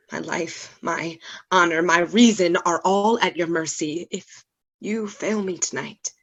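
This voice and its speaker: A woman playing a tormented, earnest scientist in a gothic radio play, pleading in formal, dramatic phrases. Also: lowish voice.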